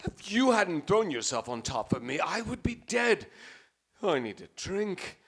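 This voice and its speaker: A man in a radio play acting a grandiose, mournful, overwrought theatrical gentleman. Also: high-pitched, sing-song, a little slow, husky, heavily acted. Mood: shaken, grandiose. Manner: overwrought, declamatory.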